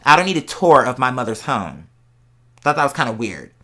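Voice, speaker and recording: Casting: young adult American vlogger, bright forceful voice in a middle register, slangy confessional chat, candid and emphatic. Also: medium pitch, very animated, fairly quick. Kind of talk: casual confessional vlog chat to followers.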